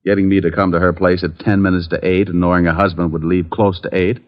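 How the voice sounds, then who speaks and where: A man's voice: sits low, little pitch movement, fast, close to an everyday voice. A man playing a wisecracking private detective in a mid-century radio drama, dry and glib in a hard-boiled style. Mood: probing. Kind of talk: 1950s radio acting with hard-boiled narration. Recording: muffled old-time radio recording.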